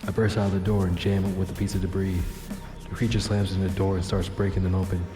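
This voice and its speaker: A low-pitched, wry cyberpunk operative acted by a man narrates his own exploits in an audio drama. He is deadpan yet theatrical, with a long roomy reverb on the voice and music underneath. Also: fast, lightly acted. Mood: tense, deadpan.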